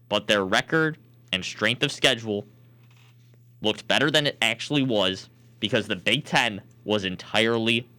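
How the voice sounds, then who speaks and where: A man's voice: on the low side, sharp tone, clear, resonant tone. A man hosting a sports talk show, arguing his opinions emphatically in a back-and-forth debate. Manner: emphatic, argumentative.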